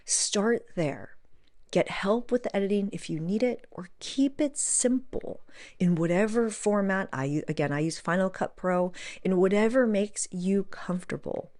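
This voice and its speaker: A woman hosting a podcast in a casual, animated way, encouraging listeners as she talks to them informally. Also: medium pitch. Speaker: podcast host.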